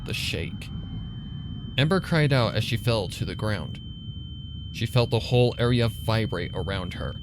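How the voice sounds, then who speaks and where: A man's voice: medium pitch, huge pitch swings, measured pace, pauses often, noisy background. A man narrating a story in a dramatic, suspenseful, theatrical scripted style. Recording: loud ambient sound effects over the voice.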